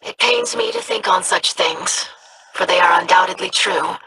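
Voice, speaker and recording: Polite young alien scholar for a game: lilting, creaky female voice, formal, over noise. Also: lowish voice, huge pitch swings, normal speed, pushed and bright, exaggerated voice, poor audio. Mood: earnest. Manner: formal, precise, courteous. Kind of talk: video game character voice lines.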